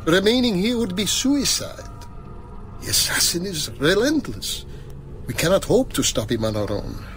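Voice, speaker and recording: A man's voice: fairly high pitch, a bit bright. An actor playing a fearful, self-excusing nobleman conspirator in a video game, grave and anxious. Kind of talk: dramatic video game cutscene acting.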